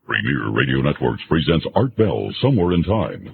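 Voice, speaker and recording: A man's voice: rumbling low, big pitch swings, normal speed, vocal fry. A man reading a scripted program announcement as a radio network announcer, on a narrow, noisy broadcast.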